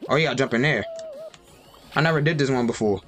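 A young man casually commentating his gameplay, talking to himself in slang and yelping at the game, recorded on a desk mic with faint game music behind. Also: fast. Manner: excitable, yelps high and loud at the game. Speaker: gaming streamer. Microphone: desk mic with webcam.

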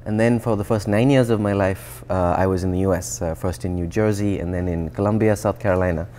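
A man in his thirties from India, a researcher explaining his path in a relaxed, thoughtful interview, often restarting sentences. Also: low voice, fairly quick. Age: 30s. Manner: thoughtful, with frequent restarts and fillers. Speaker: guest researcher. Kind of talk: conversational interview. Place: indoor atrium with plants and glass. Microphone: lapel.

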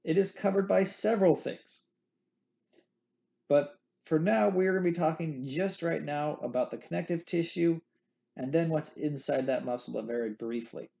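A man's voice: a little high, normal speed, creaky, mellow, dull audio. A man teaching anatomy over recorded slides, a playful, jokey explainer who leans on candy analogies, recorded on a computer mic at his desk. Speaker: anatomy instructor. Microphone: screen recording with webcam.

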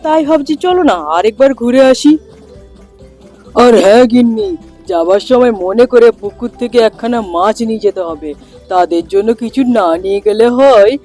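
A man's voice: very high voice, normal speed, a little mellow, soft background music. A man playing a gluttonous young son-in-law in a cartoon folk tale, coaxing and always chattering about food. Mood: coaxing, eager.

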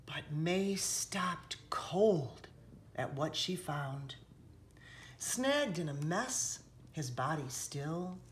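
A woman reading a picture book aloud expressively, with dramatic hushed passages and emphatic rises, recorded indoors by a camera. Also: fairly low pitch, a little hiss or hum. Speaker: children's author reading aloud. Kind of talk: expressive storybook read-aloud. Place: indoors, seated on a sofa in a room.

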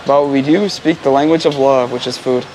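A man's voice: mid-range voice, fairly even intonation, normal speed. A man from the United States vlogging casually on a busy street, explaining local food and words to his viewers. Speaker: travel vlogger. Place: busy city street with traffic. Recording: street noise and traffic behind.

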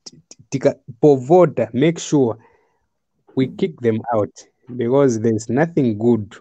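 Man, caller, mixes English and local language, mellow, dry, lo-fi call audio. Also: medium pitch, measured pace, breathy edge, no echo. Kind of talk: phone-in political opinion.

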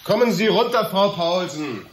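An actor playing a sharp, sarcastic police detective who fires questions in a German radio drama. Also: male voice, sits high, animated, slight background noise, low-quality recording. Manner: rapid-fire, sardonic questioning.

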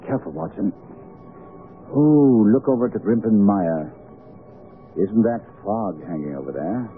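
An actor playing a tense, commanding detective in a radio mystery drama, speaking urgently and dramatically. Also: male voice, on the low side, expressive, a little slow. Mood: wary, watchful. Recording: tinny band-limited radio broadcast with music.

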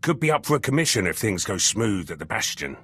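Gruff commando lieutenant for a game: low, husky, forceful, terse and blunt. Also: male voice, very animated, quick pace, heavily acted. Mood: businesslike. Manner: clipped, terse sentences. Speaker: game voice actor. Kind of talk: video game dialogue.

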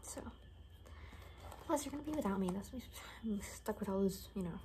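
A young woman speaking in a soft, breathy whisper, improvising a casual, rambling ASMR roleplay with a gently sardonic manner. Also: medium pitch, lively intonation, normal speed. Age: young adult. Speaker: ASMR creator playing a caretaker. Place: small home bedroom, indoors. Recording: faint background room noise.

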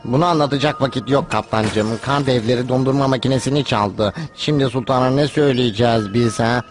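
A man's voice: medium pitch, a little fast, faint music behind, close to an everyday voice. A man voicing a timid, bumbling pirate sidekick in a dubbed cartoon, whiny, hesitant and deferential to his captain. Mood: worried.

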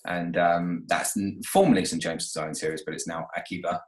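A man in his thirties with a lowish voice chats over a video call from an echoey room at home. He rambles easily, full of fillers and restarts, as he tells his own story. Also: fairly even intonation, fairly quick. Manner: chatty, with many fillers and restarts. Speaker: renovation company owner, guest. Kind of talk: casual interview.

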